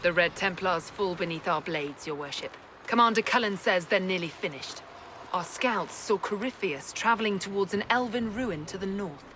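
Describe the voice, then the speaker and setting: A woman's voice: mid-range voice, animated, normal speed, background noise, natural voice. A woman playing a devout, loyal soldier in a fantasy game, with a formal, earnest military delivery. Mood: dutiful. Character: devout, loyal soldier reporting to a revered leader. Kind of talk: dramatic fantasy game acting.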